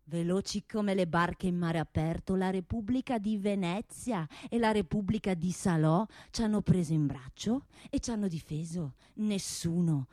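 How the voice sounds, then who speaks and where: A woman's voice: on the low side, normal speed, few pauses, mellow, no room sound, studio quality, pitched below the performer's own voice, lightly acted. A woman voicing a pompous, bullying male arms-factory owner, blustering and folksy, tagging his talk with "eh?" in a comic theatrical monologue. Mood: proud, boastful.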